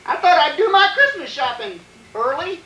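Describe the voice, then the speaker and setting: A folksy old codger from a vintage radio comedy, pitched high with a bright, swooping delivery. He cackles through his yarns from a distance on an echoey stage. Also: sing-song, moderate pace, muffled recording, heavily acted. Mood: cheerful, teasing. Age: older. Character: cheeky wheezy old-timer who tells jokes. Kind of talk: 1940s radio comedy acting. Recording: echoey theatre stage, distant, faint noise.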